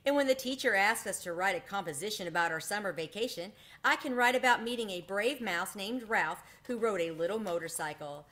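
A woman's voice: medium pitch, a little fast, few pauses, a bit bright, close to an everyday voice. A woman voicing a friendly, generous schoolboy in an earnest, coaxing way for a children's storybook. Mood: glad.

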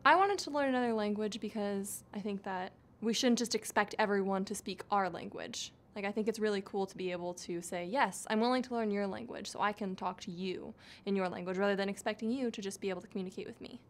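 A woman's voice: mid-range voice, fast, hardly any pauses, slight background noise. A young woman student talking casually and enthusiastically. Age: young adult.

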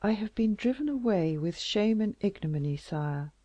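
A reader voicing a tearful gentle young woman. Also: medium pitch, lively intonation, moderate pace, dry recording, dull audio, pitched above the performer's own voice, close to an everyday voice. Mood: sorrowful pleading. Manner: soft, pleading, at times whispered. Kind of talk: audiobook character reading.

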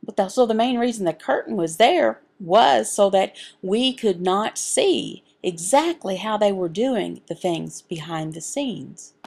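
Woman reading out a signed sermon, kinda gravelly, goes phrase by phrase, mid pitch. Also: expressive, measured pace, hardly any pauses, a little mellow. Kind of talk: sermon voiced from sign language.

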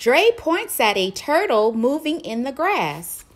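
A woman narrating a children's story in an expressive, deliberate way. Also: sits high.

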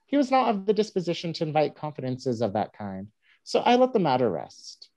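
A wry young newspaperman played by a woman spins a tall tale in a staged play reading. The voice is very low and soft-edged, swinging up and down with dry, self-mocking humour. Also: sing-song, brisk, low-quality recording. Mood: wry, mock-rueful. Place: home room on a group video call.

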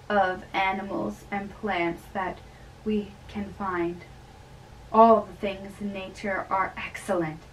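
Young woman, amateur dramatic reading, deliberate theatrical delivery, unhurried, distant bedroom camera sound. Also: medium pitch. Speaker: amateur reader of a play. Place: small bedroom, indoors, seated on a bed. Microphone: camera a few metres away. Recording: distant camera mic with faint room noise.

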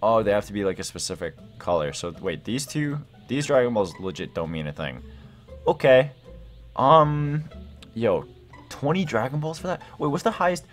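A man's voice: medium pitch, normal speed. Young guy doing gameplay commentary, voice swings all over, slangy, music behind him. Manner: excitable, slangy, thinking aloud. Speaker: gaming video host.

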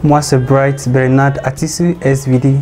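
Earnest young Ghanaian man, mellow tone. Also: mid-range voice, little pitch movement, unhurried, soft background music. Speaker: seminarian.

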